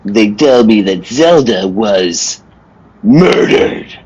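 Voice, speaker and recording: Guy doing sad little clown, radio drama, super slow and raspy, solemn. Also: on the low side, lively intonation, a little mellow, dull audio, heavily acted. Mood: grave. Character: sad, slow-spoken circus clown, quietly intense little man. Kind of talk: old-time radio play acting.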